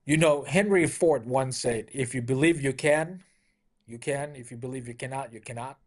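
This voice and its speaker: A man's voice: mid-range voice, normal speed. Middle-aged man from Malaysia, dealership owner as interview guest; upbeat, anecdotal delivery. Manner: anecdotal, upbeat, frequent "you know" fillers. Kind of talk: conversational interview storytelling.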